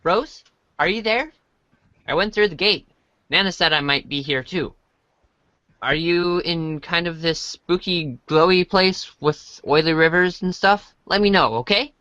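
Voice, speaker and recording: An amateur reader playing an earnest, friendly, excitable young boy in a chatty, eager reader's theater style. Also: mid-range voice, measured pace, dry recording, highs cut off. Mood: eager, questioning. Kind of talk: amateur reader's theater, reading chat messages.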